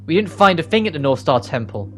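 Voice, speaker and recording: A man's voice: on the high side, sing-song, quick pace, fairly bright tone, a little hiss or hum. A man voicing a guarded, burdened young woman in a fantasy game, terse and stern. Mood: impatient.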